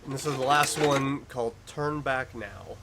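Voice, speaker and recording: A man's voice: mid-range voice, moderate pace, background noise. A man giving casual reaction commentary, reading captions aloud and musing in fragments. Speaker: reaction video host.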